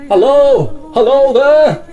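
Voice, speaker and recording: A man playing a courteous, dramatic Victorian gentleman who also narrates in a period audio drama. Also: very high-pitched, lively intonation, slow pace, full character voice. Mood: dramatic, searching.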